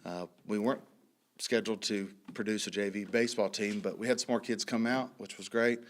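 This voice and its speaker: A man in his thirties, a high school principal, giving a report at a school board meeting while a little hoarse from a cold, clearing his throat often. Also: steady tone, brisk. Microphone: podium microphone.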